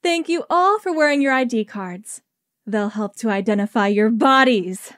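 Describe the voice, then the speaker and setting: A woman's voice: on the high side, lively intonation, normal speed, fairly bright tone, dry recording, clean studio sound. A woman acting a murderous alien posing as a conference presenter in an audio drama, sweetly officious and taunting. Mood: mocking.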